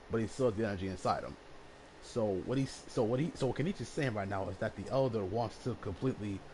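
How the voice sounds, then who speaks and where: A male streamer with a lowish voice talks loosely through the game story, filling gaps with ums and likes. He sounds relaxed and chatty, with game music running underneath. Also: low-quality recording. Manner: rambling, many fillers, relaxed. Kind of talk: casual game commentary.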